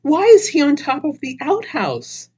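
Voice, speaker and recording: A woman playing a large, excitable, dramatic woman in a radio play, blunt and theatrical. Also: high-pitched, measured pace, pitched above the performer's own voice, exaggerated voice. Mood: shocked.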